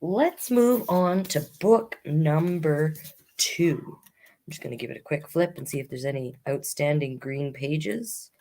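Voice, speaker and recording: A woman's voice: low-pitched, expressive, unhurried, dark tone. A woman hosting a children's storytime, reading picture books expressively with chatty asides to young listeners.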